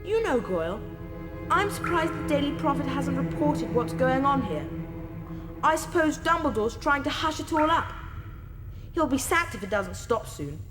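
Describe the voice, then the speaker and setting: A woman playing a sneering, snobbish British schoolboy wizard bully in a video game, haughty and scornful. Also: high-pitched. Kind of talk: video game cutscene acting. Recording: echoing hall, music behind.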